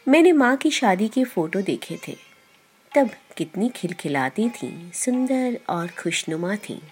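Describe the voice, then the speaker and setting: A woman podcast host reading a short story in a measured, deliberate storyteller's delivery. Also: medium pitch, very animated, slightly rough. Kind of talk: scripted storytelling narration. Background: background music.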